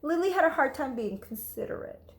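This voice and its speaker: A woman teacher reading a storybook aloud to children, expressive and emphatic, speaking to her listeners directly. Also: sits high, a little fast.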